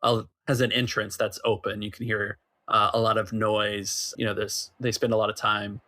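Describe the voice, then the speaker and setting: A man acting as game master, improvising scene descriptions for a tabletop role-playing game in a halting, explanatory way. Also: lowish voice, level tone, pushed and bright.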